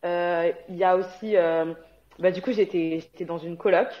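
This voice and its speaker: Woman guest from Guadeloupe, slightly low voice, chatty and hesitant, lo-fi recording. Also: fairly even intonation, moderate pace. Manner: chatty, frequent hesitations and laughter. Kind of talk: casual conversational interview. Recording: dull lo-fi sound.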